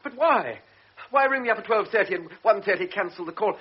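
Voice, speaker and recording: An actor playing an anxious young army lieutenant who talks nervously and urgently, sometimes stammering. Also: male voice, high voice, expressive, a little fast. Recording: dull old radio drama, faint hiss.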